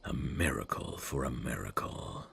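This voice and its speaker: Man acting a very deep, husky fantasy prince. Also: lively intonation, heavily acted. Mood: anxious longing. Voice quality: husky, gravelly low voice. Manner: slow, hushed, formal and halting. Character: brooding evil prince, tender and lovesick toward old friend. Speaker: voice actor. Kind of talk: intimate ASMR fantasy audio roleplay.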